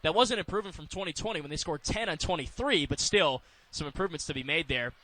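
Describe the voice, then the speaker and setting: A man calling live American football on radio as play-by-play announcer, in quick clipped phrases that can build in excitement. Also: on the high side, no room sound.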